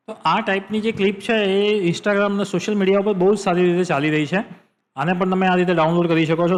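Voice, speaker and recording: A man with a Gujarati accent and a fairly high voice hosts a software tutorial. He explains what is on screen step by step, repeating phrases to drive the point home. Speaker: tutorial host.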